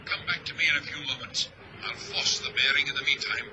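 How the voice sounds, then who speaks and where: An actor playing a dogged, fatalistic ship's engineer with a homely, strained manner, in an old-time radio drama. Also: male voice, medium pitch, brisk, bright, forceful tone. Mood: determined. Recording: noisy vintage radio drama recording.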